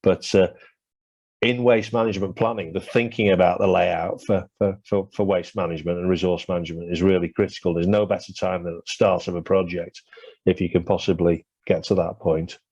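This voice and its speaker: Low flat-voiced man presenting a webinar. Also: brisk, dry recording. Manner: hesitant, many fillers and restarts. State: losing his voice. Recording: dull lo-fi webinar audio.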